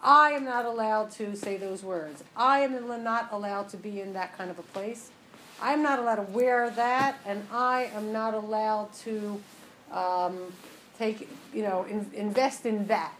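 A woman from New Jersey teaching a class to a small group, chatty and joking, then slow and emphatic as she explains. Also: medium pitch, fairly bright tone, slight background noise, low-quality recording. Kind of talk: relaxed class talk to a group.

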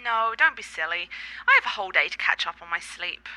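A woman's voice: fairly low pitch, very animated, brisk, pushed and bright, exaggerated voice, poor audio. A woman playing an affectionate, cultured girlfriend in a radio play, articulate and slightly theatrical. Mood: bright, affectionate.

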